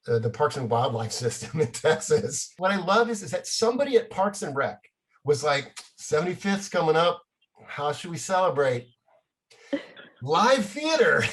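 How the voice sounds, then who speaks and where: A middle-aged man, a playwright, telling casual anecdotes in an animated, self-deprecating way with chuckles. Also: on the high side, slightly rough.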